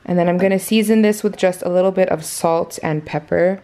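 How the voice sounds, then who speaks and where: A woman's voice: fairly low pitch, steady tone, brisk, warm tone. Chatty woman narrating a home cooking vlog. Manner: chatty, friendly step-by-step explaining to viewers. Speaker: cooking vlogger. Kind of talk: casual meal prep narration. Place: home kitchen.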